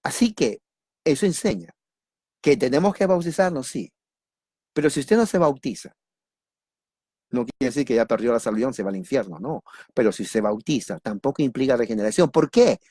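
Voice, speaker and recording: Peruvian male preacher, mid pitch, dry close sound, lively teacher who quizzes the room. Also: moderate pace, long pauses, slightly rough, no echo. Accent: Peruvian Spanish. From Peru. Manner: repeats key words, asks and answers his own questions; lively, jokes with listeners. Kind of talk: teaching sermon on doctrine.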